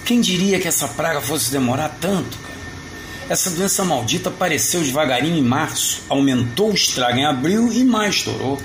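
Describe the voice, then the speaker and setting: An actor playing an older, weary retired mall Santa with a Rio de Janeiro accent, chatting colloquially in an audio drama. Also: male voice, fairly high pitch, expressive, fairly quick, a bit bright. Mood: grumbling. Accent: Brazilian, Rio de Janeiro. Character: weary, wry retired mall Santa, encouraging old friend. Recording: roomy echo, faint background noise.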